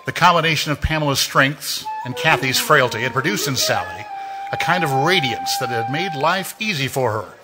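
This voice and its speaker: A man voices a mysterious storyteller who walks by night, speaking in a mid-pitched, measured, ominous tone. It is 1940s radio drama narration, with music playing loudly behind. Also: expressive, lightly acted, poor audio. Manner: slow, ominous, measured delivery.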